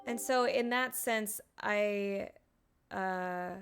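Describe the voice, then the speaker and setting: A woman's voice: medium pitch, drawn-out words. A woman game streamer giving casual, playful commentary and musing aloud as she plays. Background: game music.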